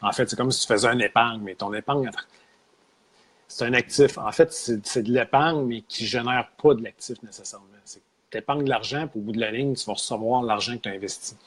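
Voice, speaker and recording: A man's voice: medium pitch, brisk, husky. A man with a Québécois French accent, co-hosting a casual discussion, hesitant and searching for words.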